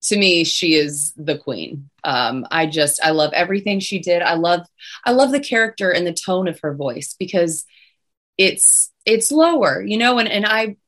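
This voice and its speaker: A young woman singer with a low voice, chatting casually as an interview guest. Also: expressive, normal speed, a bit bright. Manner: chatty, many restarts and fillers.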